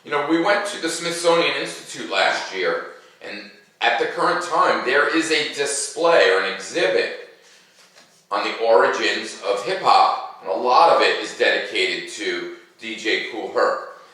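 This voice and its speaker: A man in his fifties hosting a children's read-aloud, speaking expressively with emphatic, deliberate phrasing. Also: medium pitch, lively intonation, sharp tone, husky, rough recording. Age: 50s.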